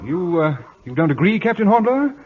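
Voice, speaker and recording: A haughty admiral in an old radio play, with a high-pitched voice and a pompous, commanding delivery. He is condescending and stubborn. The sound is dull and vintage. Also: sing-song, a little fast. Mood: brisk, confident. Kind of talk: 1950s radio drama acting.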